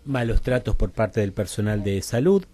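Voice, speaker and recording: Argentine man explaining haltingly, music behind. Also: on the low side, lively intonation, mellow. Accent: Argentine Rioplatense. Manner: halting, digressive, seeks agreement with frequent tag questions. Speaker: interview guest, social researcher. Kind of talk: informal radio interview explanation. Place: radio studio.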